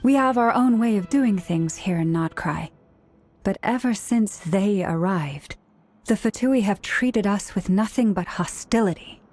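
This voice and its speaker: An earnest young woman from a fantasy game, as a woman voices her, laying out what she knows in a sincere way. Her tone is mellow, with faint game music underneath. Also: medium pitch, measured pace, lightly acted.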